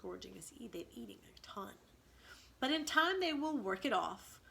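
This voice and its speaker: A woman reading a storybook aloud as a teacher, in an expressive, instructive way. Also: a little high, normal speed, vocal fry. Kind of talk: storybook read-aloud with explanations.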